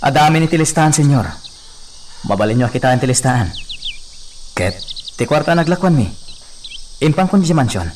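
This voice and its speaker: A man's voice: fairly high pitch, expressive, fairly quick, long pauses, darkish tone, lightly acted. A man playing a loyal, deferential hacienda foreman with a Filipino accent in a radio drama. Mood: respectful. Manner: respectful, deferential. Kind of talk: Ilocano radio drama acting. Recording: distant mic, faint insect noise.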